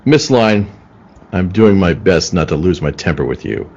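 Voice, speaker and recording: A man's voice: low-pitched, big pitch swings, normal speed, darkish tone, slightly rough, lo-fi sound, heavily acted. A man playing a businesslike police detective in a radio play, matter-of-fact and firm. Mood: stern, restrained. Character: level-headed, businesslike male police detective. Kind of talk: old-time radio play acting.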